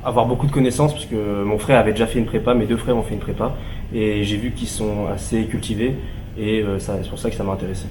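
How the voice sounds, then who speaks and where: A young male student giving halting interview answers full of fillers. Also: fairly low pitch, steady tone, darkish tone, slight background noise. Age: young adult. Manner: hesitant, halting, many fillers. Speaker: prep school student.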